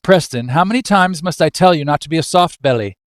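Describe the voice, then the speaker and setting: A man's voice: mid-range voice, moderate pace, dry recording, studio quality, close to an everyday voice. A man playing a pompous, stern and scornful banker father who blusters haughtily in old-fashioned phrases. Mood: exasperated, commanding.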